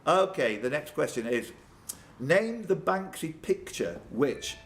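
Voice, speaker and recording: A man's voice: on the high side, big pitch swings, slow pace, crowd noise. A man hosting a live pub quiz, reading out questions with jokey, off-the-cuff banter and laughing at his own jokes. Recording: live pub room with background noise.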